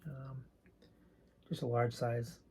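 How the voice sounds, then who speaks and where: A soft-spoken man with a raspy voice, chatting casually and rambling a bit while showing his collection. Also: mid-range voice, little pitch movement, slow pace. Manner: soft-spoken, not loud; rambling, hesitant with many ums. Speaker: card collecting channel host. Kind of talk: casual show-and-tell chat.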